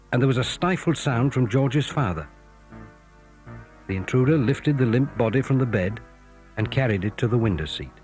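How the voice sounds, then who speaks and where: Expressive British male storyteller, lightly husky. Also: mid-range voice. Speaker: narrator. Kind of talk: scripted dramatic storytelling for children's adventure audio drama.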